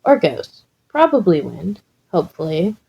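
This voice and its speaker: A woman narrates an audio story from a script. Her voice is mellow and fairly low, and she takes her time with a slow pace. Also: sing-song, creaky, husky. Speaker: podfic narrator.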